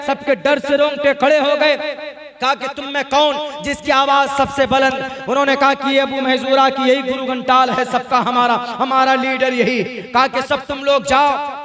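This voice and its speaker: A man preaching an Islamic sermon, telling stories and exhorting listeners with emphatic repetition. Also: extremely high pitch. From India.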